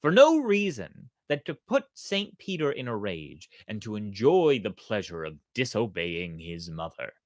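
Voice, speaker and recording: Folktale narrator: unhurried, theatrical, widely swinging intonation, mid-pitched. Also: sing-song, dry recording, clean studio sound. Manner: theatrical, deliberate. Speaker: storyteller, host. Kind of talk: expressive storybook narration.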